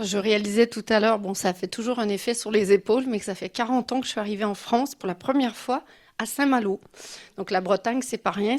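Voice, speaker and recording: A mature woman from Quebec gives an informal conference talk as a guest speaker, in a personal and reflective way. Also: medium pitch. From Quebec City, Canada. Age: 50s or older. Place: live talk before an audience.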